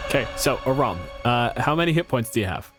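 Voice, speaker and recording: A man acting as game master, narrating and explaining rules in a measured, matter-of-fact manner. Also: medium pitch, expressive. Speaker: game master, podcast host. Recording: music bed behind the voice.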